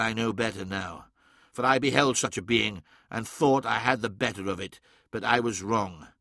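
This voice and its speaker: A man's voice: medium pitch, vocal fry, a bit bright, no echo, studio quality. A man voicing a grave, remorseful sorcerer lord. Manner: grave, measured and solemn. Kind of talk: audiobook character voice.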